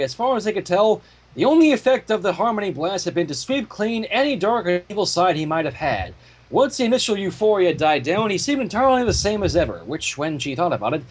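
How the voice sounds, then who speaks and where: Animated, husky man reading a story aloud. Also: sits high. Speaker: fan fiction reader. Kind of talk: storytelling read aloud with casual asides.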